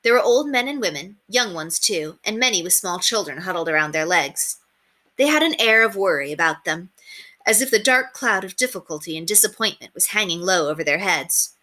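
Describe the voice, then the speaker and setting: A woman narrating a children's story aloud in an expressive read-aloud style. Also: on the low side, a little fast.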